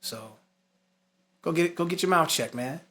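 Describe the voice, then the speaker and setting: A man's voice: on the high side, expressive, measured pace, a bit bright. A man giving an instructional lesson, moving between scripted points and casual, emphatic asides. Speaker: music instructor.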